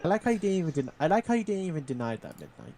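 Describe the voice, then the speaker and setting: Fast-talking, sarcastic man. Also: a little high, big pitch swings, a crowd in the background. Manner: quick-talking, sarcastic ribbing. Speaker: guest player. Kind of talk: casual livestream chat.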